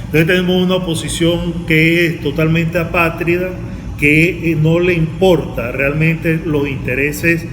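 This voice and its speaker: A Venezuelan man, a university lecturer, giving his opinion in a panel interview in a halting, repetitive way. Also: fairly high pitch, steady tone. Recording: very echoey hall with loud noise.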